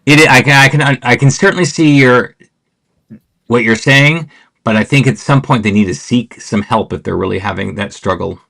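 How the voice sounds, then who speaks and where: A man's voice: mid-range voice, moderate pace, gravelly, a bit bright. A man hosting a podcast conversation, speaking frankly and steering the talk. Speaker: podcast host. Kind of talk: casual conversational interview.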